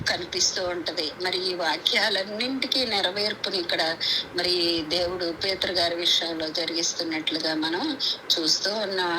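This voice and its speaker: A woman preaches a devotional sermon in an earnest, instructive manner, stressing key phrases through repetition, over a conference call. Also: medium pitch. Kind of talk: devotional sermon with scripture readings. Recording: clearly noisy conference call audio.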